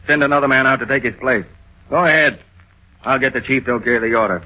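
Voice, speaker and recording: An actor playing a blunt, suspicious police captain who commands and interrogates, in a vintage radio crime drama. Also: male voice, mid-range voice, quick pace, sharp tone, a little hiss or hum. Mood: brisk, gruff. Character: gruff, suspicious police captain grilling his men. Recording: muffled old-time radio recording.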